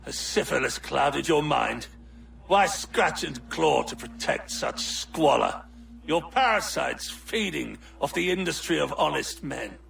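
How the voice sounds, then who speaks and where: An actor playing a contemptuous naval commodore villain in a video game, pompous and sneering, with a gravelly voice. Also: male voice, on the high side, animated, measured pace, a bit bright, slight background noise, full character voice. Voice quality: creaky, gravelly. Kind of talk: dramatic video game acting.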